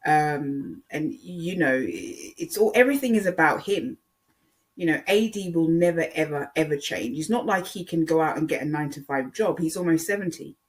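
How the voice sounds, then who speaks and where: Casual online commentator, mid-pitched, rambling and hesitant delivery with emphatic repetition. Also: expressive, moderate pace. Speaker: online video creator.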